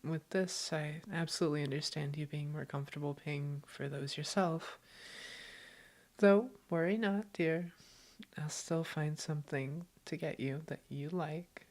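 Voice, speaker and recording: Low-voiced doting girlfriend, acted by a woman. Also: steady tone, measured pace, darkish tone. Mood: gently apologetic. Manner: affectionate, reassuring. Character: doting, teasing girlfriend, gentle and attentive. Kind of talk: roleplay audio acting, intimate conversational.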